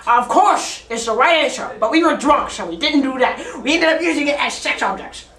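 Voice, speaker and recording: A woman's voice: sits high, animated, brisk, a bit bright. A teenage girl telling a rambling, absurd improvised story in a classroom, often giggling, recorded by a camera set up across the room. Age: teens. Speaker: student storyteller. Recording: echoey classroom, distant camera.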